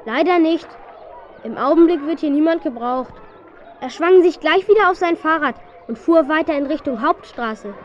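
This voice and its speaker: A woman's voice: high-pitched, expressive, moderate pace. A woman playing a helpful youngster at a summer camp in a children's radio play. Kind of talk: 1970s German children's radio play acting. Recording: dull, muffled old audio drama recording.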